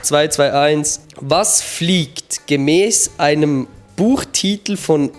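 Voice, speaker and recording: A man's voice: on the high side, takes it slowly, over loud music. A man hosting a quiz, reading out questions with casual banter in between. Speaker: quiz host.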